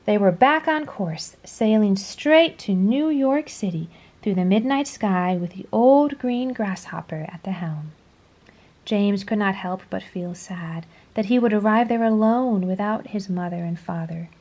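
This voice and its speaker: A woman reading a children's story aloud in a dramatic, deliberate storytelling manner. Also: mid-range voice, darkish tone. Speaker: storybook reader. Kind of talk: scripted children's story read-aloud, expressive.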